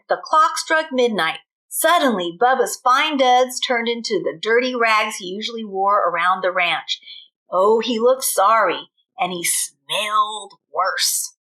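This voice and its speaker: A woman reading a children's storybook aloud in an expressive manner, as a teacher does for young children. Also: fairly high pitch, poor audio.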